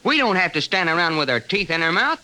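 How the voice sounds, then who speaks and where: An actor playing a brash, folksy Texan adventurer with an excitable, slangy drawl in a 1940s radio drama. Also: male voice, high voice, fast talker, exaggerated voice. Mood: indignant. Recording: vintage 1940s radio recording.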